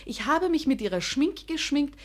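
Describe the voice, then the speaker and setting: An actress playing a mischievous, quick-witted young woman in a radio comedy, playful and sweetly coaxing. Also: high-pitched, sing-song. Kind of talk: German radio play acting.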